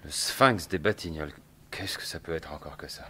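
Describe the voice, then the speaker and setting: An actor playing a commanding, inquisitive gentleman sleuth in a French radio mystery, polite and assured. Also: rumbling low, normal speed, slightly rough, full character voice. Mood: probing. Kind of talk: 1950s-style French radio mystery acting.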